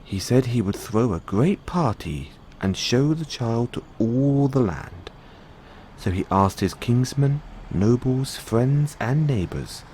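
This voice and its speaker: A man reading a fairy tale aloud as a bedtime storyteller, in an expressive scripted narration. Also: mid-range voice, slow, slight background noise, rough recording.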